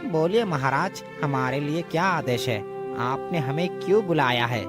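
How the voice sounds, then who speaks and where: Man as a high-pitched humble bird-catcher. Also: normal speed, over music, lightly acted. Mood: deferential. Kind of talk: children's cartoon story voice acting.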